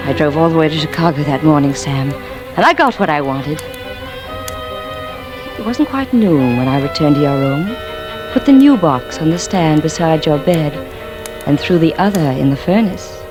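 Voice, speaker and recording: A woman's voice: low-pitched, animated, moderate pace. An actress playing a bitter, scheming wife who confides her crime in a vintage radio drama. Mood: cold, gloating. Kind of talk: 1950s radio drama acting, intimate confessional narration. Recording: vintage radio recording with music underneath.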